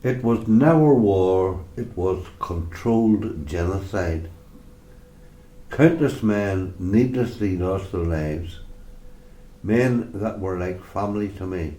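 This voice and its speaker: A man playing an elderly war veteran grandfather in a radio drama, sombre and bitter, speaking in a grave, reflective, deliberate way. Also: deep voice, lively intonation, warm tone, rough recording. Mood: bitter, mournful. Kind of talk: amateur radio drama monologue.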